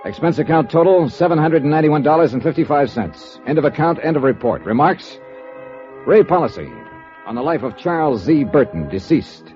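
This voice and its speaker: An actor playing a wry, tough American insurance investigator with an American accent, dry and sardonic in a 1950s radio drama. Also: male voice, fairly high pitch, animated, brisk, a little mellow, lightly acted. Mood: brisk, matter-of-fact. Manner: dry, crisp, sardonic delivery. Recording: dull 1950s radio recording with music.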